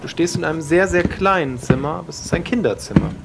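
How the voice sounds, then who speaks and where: A man's voice: fairly high pitch, fast talker, vocal fry, over loud music. A man narrating a role-play audio drama as game master, describing scenes to the players.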